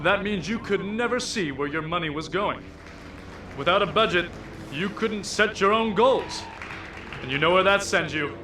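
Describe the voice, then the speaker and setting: A man's voice: high voice, animated, moderate pace, noisy background. An actor playing a gleeful game-show host who mocks in showman patter. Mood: mocking. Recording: echoey and distant.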